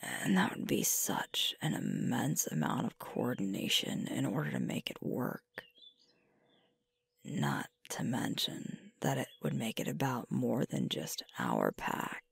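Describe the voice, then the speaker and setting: A woman playing a sweet, sleepy alpha werewolf girlfriend in an intimate ASMR roleplay, speaking in a gentle, husky, unhurried murmur close to the microphone. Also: extremely low pitch, wildly swooping pitch, exaggerated voice. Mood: hesitant, confiding. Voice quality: breathy, husky with creaky fry. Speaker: ASMR performer. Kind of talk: ASMR roleplay, intimate whispered and soft-spoken. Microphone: close mic, dry.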